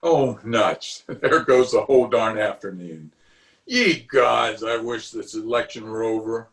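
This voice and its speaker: A man's voice: lowish voice, a little slow, fairly bright tone. A man playing a pompous, self-justifying middle-aged uncle in an old-time radio comedy, with a blustery, chuckling manner. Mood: disgruntled. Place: recorded remotely over a video call.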